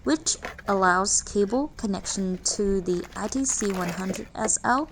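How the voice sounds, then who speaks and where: A woman's voice: mid-range voice, unhurried, background noise. A woman reading a scripted product overview in a formal manner, listing specifications. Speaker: narrator.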